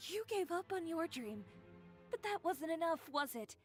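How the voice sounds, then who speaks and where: A woman's voice: very high-pitched, sing-song, normal speed, faint music behind. An actress playing a proud, determined young female fashion designer in a game, speaking in a firm, cool, lecturing way. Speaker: game character.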